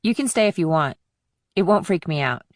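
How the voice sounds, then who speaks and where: A woman's voice: fairly low pitch, lively intonation, brisk, no echo, studio quality, close to an everyday voice. A woman playing a sarcastic, guarded, streetwise teenage girl in audiobook dialogue, with a flippant manner. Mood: matter-of-fact.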